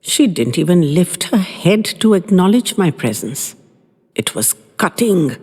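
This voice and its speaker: A woman reading a first-person love story aloud in an expressive, lively storytelling manner. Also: on the low side, unhurried, breathy edge. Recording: long reverb with faint guitar music.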